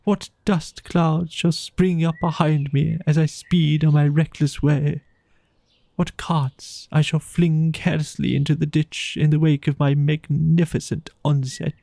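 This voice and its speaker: A man voicing a slow, dreamy, besotted toad. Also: fairly high pitch, level tone, creaky, mellow, dry recording. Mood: dreamy, grandiose. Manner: murmuring, drawn-out, rapturous delivery. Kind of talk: children's storybook character voice.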